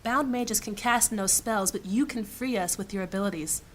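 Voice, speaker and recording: A woman voicing a stern, high-ranking female mage who gives clipped, authoritative commands in a fantasy audio drama. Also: moderate pace, slight background noise, natural voice. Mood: commanding.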